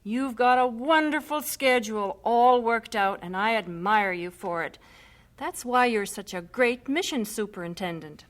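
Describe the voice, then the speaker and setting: Woman playing teasing missionary wife and mother, higher voice, wry, playful, old-fashioned radio drama. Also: unhurried, lightly acted. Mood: playfully wry.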